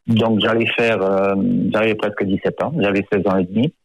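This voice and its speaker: A man's voice: lowish voice, little pitch movement, normal speed, no room sound, muffled recording. A man in his fifties, an author born in France, talking in a radio interview and hesitating often, with many fillers and repeated words. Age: 50s.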